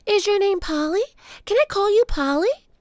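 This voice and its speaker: A woman's voice: very high voice, animated, normal speed, dry recording, clean studio sound, pitched above the performer's own voice. A woman voicing an eager, adventurous little girl in a children's storybook, with childlike enthusiasm. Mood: eager, excited. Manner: bright exclamations, childlike enthusiasm.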